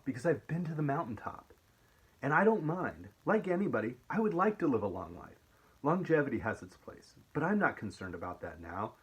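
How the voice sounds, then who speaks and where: Brief: male teacher, husky tone, mid pitch, reflective and halting, reading and discussing a speech. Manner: thoughtful, halting, frequent restarts and fillers. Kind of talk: casual spoken commentary with read-aloud passages.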